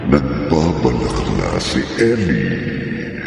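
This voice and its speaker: A man with a Filipino accent playing a sinister horror storyteller on a radio drama, theatrical and ominous, with drawn-out dramatic phrasing. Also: wildly swooping pitch, full character voice. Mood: grave, suspenseful. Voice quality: very deep, gravelly. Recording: long cavernous reverb, music bed.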